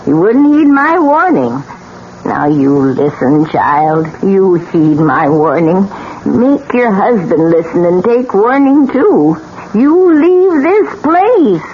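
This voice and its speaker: A muffled old radio recording carries a stern old widow who speaks very slowly. She is folksy and insistent, giving ominous warnings in 1970s radio drama style. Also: medium pitch, sing-song, a little hiss or hum. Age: older.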